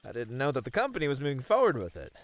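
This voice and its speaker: Bashful, lively bunny man, played by a voice actor. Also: mid-range voice, racing. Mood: earnest. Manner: hesitant, bashful, earnest. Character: friendly, easily flustered bunny man, an esports manager. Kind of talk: ASMR roleplay. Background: pouring, trickling water.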